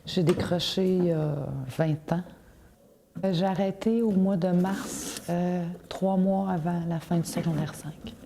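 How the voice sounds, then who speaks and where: A woman's voice: on the low side, steady tone, measured pace, mellow, slight background noise. A woman in her thirties with a Québécois accent, speaking bluntly in an interview. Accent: Québécois French. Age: 30s. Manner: blunt, frank. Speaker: former school dropout. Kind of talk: interview testimony.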